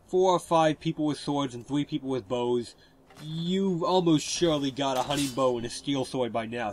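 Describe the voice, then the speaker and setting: A man with a stuffy cold, giving relaxed, explanatory gameplay commentary over a walkthrough. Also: medium pitch, low-quality recording.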